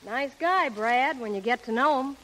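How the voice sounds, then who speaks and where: Nosy slangy showgirl from a 30s radio show, sharp bright voice, bit of crackle. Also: high-pitched, moderate pace. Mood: prying. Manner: slangy wisecracking. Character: brash, nosy, wisecracking showgirl friend, woman. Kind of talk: 1930s radio acting. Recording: noisy, crackly 1930s radio recording.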